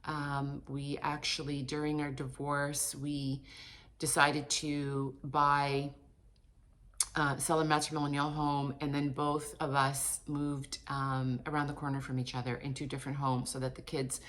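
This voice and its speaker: A woman in her late fifties with a low-pitched voice speaks directly to her viewers. Her delivery is casual and candid, like a personal vlog. She rambles conversationally, often correcting herself mid-thought. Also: level tone, unhurried, fairly bright tone. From Portugal, emigrated with parents. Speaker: vlogger and author.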